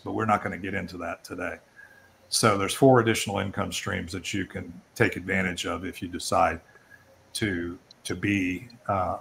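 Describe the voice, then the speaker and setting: A man's voice: deep voice, vocal fry, a bit bright, a little hiss or hum. An older man hosting an online networking event, talking informally and rambling a little as he presents and takes questions. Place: online video call.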